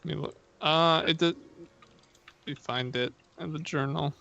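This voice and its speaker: A man's voice: on the high side, fairly even intonation, unhurried, gravelly, pushed and bright. A man chatting casually as a player in a tabletop game over voice chat. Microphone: online voice chat with headphones. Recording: dull voice chat, keyboard noise.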